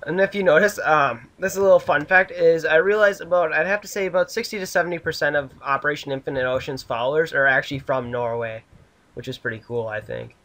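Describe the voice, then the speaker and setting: A man's voice: a little high, fast, pushed and bright. A man hosting a casual gaming video, rambling and upbeat as he tours a build with a friend. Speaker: channel host.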